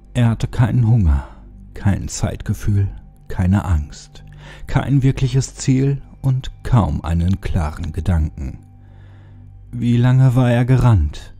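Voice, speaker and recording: A man narrating a horror story as an audiobook, in a deliberate, brooding and dramatic delivery with pauses. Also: deep voice, gravelly, warm tone, husky.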